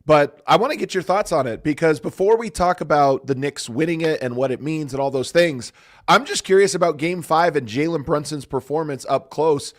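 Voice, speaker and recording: A man's voice: fairly high pitch, moderate pace. Man hosting, his voice strained and cracking. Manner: chatty, curious questioner. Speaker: podcast host. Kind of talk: casual sports podcast chat.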